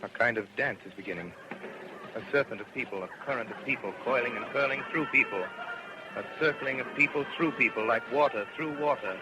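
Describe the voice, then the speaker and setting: An actor playing a radio announcer who gives a tense eyewitness report in short, vivid, hushed and urgent phrases, in an old radio drama. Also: male voice, mid-range voice, a little fast, lightly acted. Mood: awed, hushed. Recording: very noisy old radio recording.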